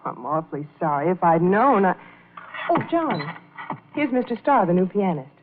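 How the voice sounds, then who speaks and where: An actress playing a polite, gracious innkeeper's wife in a 1940s radio drama. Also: female voice, lowish voice, very animated, moderate pace. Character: beautiful, courteous young wife of an innkeeper. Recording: tinny, noisy old radio recording.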